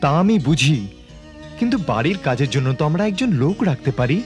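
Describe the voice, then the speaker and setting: Polite young cartoon son, earnest, quick talker, very up and down pitch, music behind. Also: male voice, sing-song, darkish tone. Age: young adult. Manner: earnest, reasoning. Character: principled, polite young medical student son. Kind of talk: cartoon voice acting.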